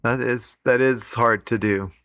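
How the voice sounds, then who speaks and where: A man's voice: mid-range voice, steady tone, a little slow, fairly bright tone, dry recording, clean studio sound. A man co-hosting a casual podcast, agreeing in short, easygoing interjections as he listens. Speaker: podcast co-host. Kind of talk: casual chat, brief listening responses.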